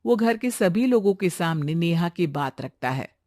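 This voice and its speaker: Lively woman narrator, dry recording. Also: lowish voice, normal speed, no room sound. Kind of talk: scripted storybook narration.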